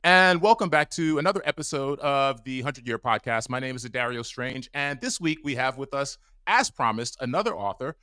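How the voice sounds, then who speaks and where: Bright, rambling male podcast host. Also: mid-range voice, lively intonation, moderate pace, hardly any pauses, smooth, soft background music. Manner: rambling, many "like" and "um" fillers. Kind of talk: casual interview chat. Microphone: mic on a stand over a video call.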